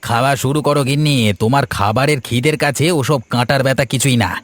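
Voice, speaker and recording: A man's voice: mid-range voice, fairly even intonation, quick pace, lightly acted. A man voicing a teasing, mocking husband in a fairy-tale cartoon. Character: mocking husband in a village family.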